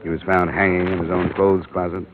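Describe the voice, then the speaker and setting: An actor playing a tough, cool private investigator in 1950s radio detective style, terse, dry and probing. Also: male voice, sits low, level tone, fairly quick, background noise, lightly acted. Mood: sober, probing. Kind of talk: 1950s radio detective acting, dialogue and narration. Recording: muffled old radio recording with music.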